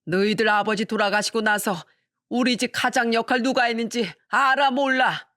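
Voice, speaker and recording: A woman's voice: fairly high pitch, fairly even intonation, moderate pace, fairly bright tone, dry recording, studio quality, voice pushed up, close to an everyday voice. A woman voicing a furious elderly mother-in-law who scolds her sons emphatically in a dramatized family story. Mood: furious, scolding.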